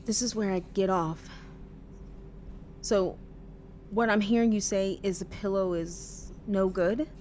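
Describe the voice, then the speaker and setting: An actress playing a naive, earnest and oddly frank young person in an audio drama, guileless and matter-of-fact. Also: female voice, medium pitch, fairly even intonation, takes it slowly, pauses often, darkish tone, a little hiss or hum, natural voice. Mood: cheerfully frank.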